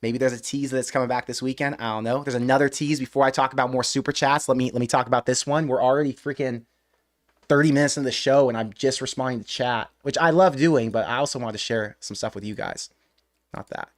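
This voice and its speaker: A man hosting a live stream, chatting casually in a rambling, excitable way with mild swearing. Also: medium pitch, fast. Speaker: live-stream host of a fan channel.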